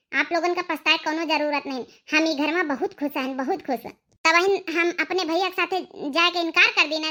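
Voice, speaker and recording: Cartoon young wife , female performer: Awadhi accent, piercingly high, bright tone, contented yet emphatic and pleading. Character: devoted young married sister, firm and contented. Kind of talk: cartoon family drama dialogue.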